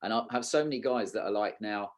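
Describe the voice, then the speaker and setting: A man's voice: fairly low pitch, fast talker. A man hosting a podcast in an informal, rambling, conversational way. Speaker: podcast host. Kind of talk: casual conversational podcast talk.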